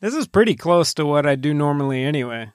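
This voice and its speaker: A man's voice: a little high, animated, quick pace, smooth, no echo, studio quality. A man co-hosting a podcast in casual chat, with a wry, teasing way of talking. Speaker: podcast co-host.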